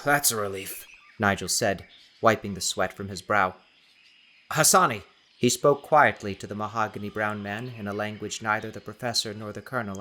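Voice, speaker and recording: Low, forceful male audiobook narrator. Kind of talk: scripted dramatic narration.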